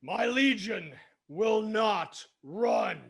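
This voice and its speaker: Orc legion commander, fantasy comedy, barked orders, dragging pace, swooping delivery. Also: male voice, high voice, expressive, drawn-out words, fairly bright tone, breathy edge, heavily acted. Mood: fierce, commanding. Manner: barking, bellowed orders. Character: commanding, booming orc legion leader and bloodthirsty pirate. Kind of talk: fantasy comedy table read.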